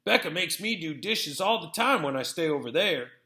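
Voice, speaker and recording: A man's voice: on the high side, lively intonation, moderate pace, sharp tone, reverberant. A man voicing a huge, easygoing, teasing older brother, a rural young man, in a storybook reading. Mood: smug, teasing. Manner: casual, teasing.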